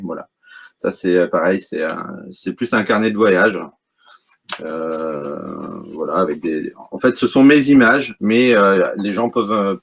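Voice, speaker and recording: A middle-aged man from Brittany, a wildlife photographer, talking casually and hesitantly in an interview, full of fillers and restarts. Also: deep voice. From Brittany, France.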